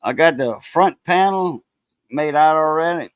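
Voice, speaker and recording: Man nearly sixty, relaxed live-stream chat, slightly high voice, frequent fillers, dry sound. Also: measured pace, clear, resonant tone, no room sound, lo-fi sound. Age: 50s. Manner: laid-back, laughs easily, many fillers. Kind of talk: casual chat.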